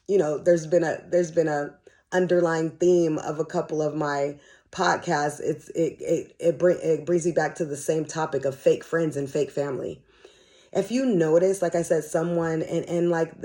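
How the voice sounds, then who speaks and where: A middle-aged American woman hosting a casual solo podcast, chatting candidly and with slang in a slightly dry, raspy, hoarse voice. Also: deep voice, mellow. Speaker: podcast host.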